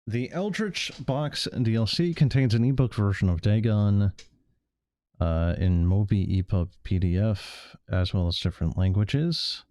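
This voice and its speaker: Low-voiced, stammering male game streamer. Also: measured pace, warm tone, no echo. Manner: hesitant, stammering, repeats words. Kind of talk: casual stream commentary, sometimes reading text aloud.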